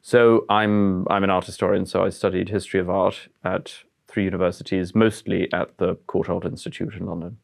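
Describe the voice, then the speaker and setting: A man's voice: low voice, little pitch movement, brisk, gravelly, few pauses. A man of about forty who grew up in France, a museum curator giving thoughtful, searching answers in an interview. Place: historic house, indoors.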